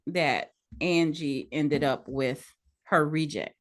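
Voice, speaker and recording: Low-voiced woman chatting frankly. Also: takes it slowly. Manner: frank, opinionated, laughs easily. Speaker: podcast co-host. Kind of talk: casual chat.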